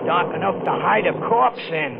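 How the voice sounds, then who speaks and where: An actor playing a nosy, folksy American hobo hitchhiker with colloquial, insistent chatter in a 1950s radio mystery. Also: male voice, sits high, normal speed, vocal fry, very noisy. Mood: sly, curious. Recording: muffled old radio recording with music.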